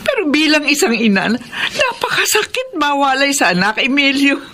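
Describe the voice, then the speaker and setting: A woman's voice: high voice, sing-song, moderate pace, background noise. A woman playing an anxious middle-aged mother in a Filipino radio drama, pleading, tearful and emotional.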